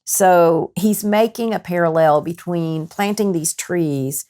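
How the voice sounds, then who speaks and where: Female book panelist, lowish pitch, casual, appreciative, digressive. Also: slow pace, dark tone. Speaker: show panelist.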